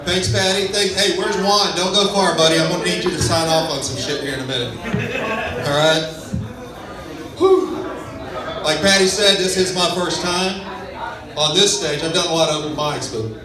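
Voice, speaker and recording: A man's voice: high voice, normal speed, pauses often. A man from Texas with a West Texas accent, doing a self-deprecating, laid-back stand-up comedy set on a club stage. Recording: echoey club sound from far off with a crowd.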